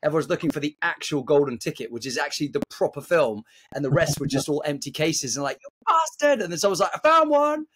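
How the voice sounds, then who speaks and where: A man co-hosting a podcast, telling anecdotes with animated delivery and acted-out voices. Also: a little high, quick pace, few pauses, a little hiss or hum. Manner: animated storyteller, acts out voices. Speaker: podcast co-host. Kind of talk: casual podcast chat.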